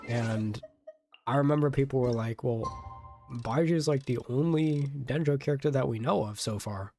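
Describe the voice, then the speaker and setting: A man's voice: medium pitch, normal speed, darkish tone. Casual young man streaming a game. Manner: slangy, rambling. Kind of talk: casual livestream commentary. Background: game music.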